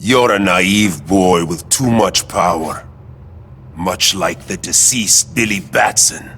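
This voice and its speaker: An actor playing an ancient, menacing man of great power who speaks with slow contempt in a game cutscene with music underneath. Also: low-pitched, fairly bright tone, a little hiss or hum, full character voice. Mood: scornful.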